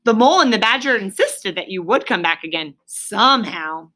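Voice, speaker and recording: A woman's voice: medium pitch, expressive, sharp tone. Woman playing a stern, exasperated water rat. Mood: explaining. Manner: scolding, emphatic, drawn-out key words. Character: stern, exasperated male water rat, Toad's loyal friend.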